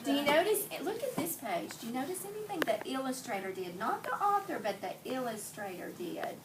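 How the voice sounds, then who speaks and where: A woman teacher reading a picture book aloud to young children in an animated storytelling manner, prompting them with questions and repeating their answers. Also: sits high, a little fast. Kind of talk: expressive read-aloud with questions to pupils. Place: indoor classroom. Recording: roomy, noisy classroom, distant microphone.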